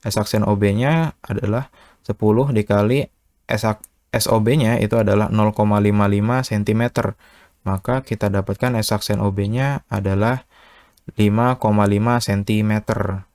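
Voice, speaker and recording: A methodical male tutor with a fairly low voice, explaining one step at a time. His voice-over sounds close and dry, with no room echo. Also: fairly even intonation, normal speed, a little mellow. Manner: patient, methodical, with filler "eh" and "ya". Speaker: online tutor. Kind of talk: step-by-step physics problem walkthrough.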